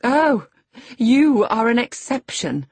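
A woman's voice: lowish voice, big pitch swings, slow, warm tone, breathy edge, dry recording, pitched above the performer's own voice, exaggerated voice. A woman voicing a haughty, scornful young lady in an audiobook novel, speaking with cutting, imperious indignation. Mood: cutting, angry. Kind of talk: classic novel character dialogue in audiobook reading.

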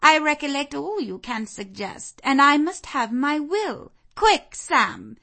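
A woman's voice: sits high, animated, takes it slowly, vocal fry, pushed and bright, pitched above the performer's own voice, exaggerated voice. A woman voicing a haughty, willful young aristocratic lady in a storybook, with a commanding, theatrical, emphatic manner. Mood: imperious, impatient.

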